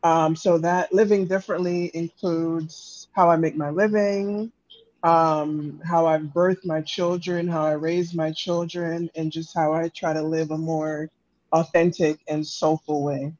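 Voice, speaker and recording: A woman from Jamaica speaks low and calmly as a podcast guest. She reflects as she goes, pausing on "um" between thoughts. The sound is dry and a bit dull. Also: no echo. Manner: calm, reflective, many "um" pauses. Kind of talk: casual podcast interview.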